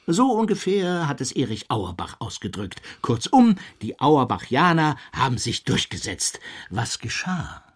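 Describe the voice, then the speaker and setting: A slightly husky male academic in a radio play. His pitch swings widely as he digresses like a professor, pedantic and animated. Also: unhurried, few pauses. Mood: animated, lecturing. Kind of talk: German radio drama, conversational storytelling.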